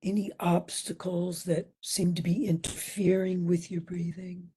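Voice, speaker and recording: Woman doing guided meditation, really slow and gentle, soft breathy voice, kinda low and mellow. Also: level tone, a little hiss or hum. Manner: gentle, soothing, with long pauses. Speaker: meditation instructor.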